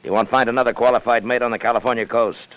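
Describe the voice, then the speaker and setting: An actor playing a ruthless, brazen sailor in a 1950s radio drama, with a cool, insolent, clipped manner. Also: male voice, mid-range voice, steady tone, fast, a bit bright, close to an everyday voice. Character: ruthless, brazen murderous sailor turned first mate. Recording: muffled 1950 radio broadcast with music.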